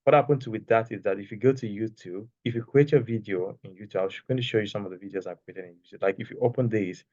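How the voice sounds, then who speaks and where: A soft-spoken man teaching a casual online class while sharing his screen, talking loosely and checking in with "okay?". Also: lowish voice, fast talker, dry recording, muffled recording. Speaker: course creation instructor. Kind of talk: screen-share tutorial, casual. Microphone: external microphone.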